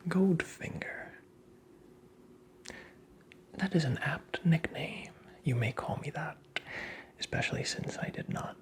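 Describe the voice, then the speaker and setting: A performer voicing a creepy, taunting fairy-tale imp in a whispered ASMR roleplay, with breathy, gravelly, theatrical, mockingly courteous delivery. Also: mid-range voice, lightly acted. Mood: sly, teasing.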